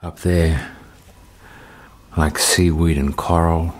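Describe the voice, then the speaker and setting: A man narrating a radio play as a middle-aged man looking back, slow and measured, with music behind him. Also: low voice, little pitch movement, a little hiss or hum. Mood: reflective. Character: grown man looking back, brooding and reflective. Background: music score.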